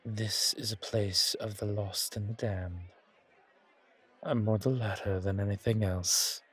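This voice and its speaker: A man playing a suave, centuries-old vampire in a horror ASMR roleplay, languid and genteel with quiet menace and a husky, breathy voice. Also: lowish voice, heavily acted. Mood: amused, sardonic.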